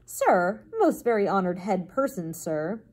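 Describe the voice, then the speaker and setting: A woman's voice: mid-range voice, lively intonation, a little slow, darkish tone. A woman voicing a small, polite male mouse in a storybook, earnest and formal as he pleads. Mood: urgent. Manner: formal, deferential, insistent. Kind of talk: storybook character voice.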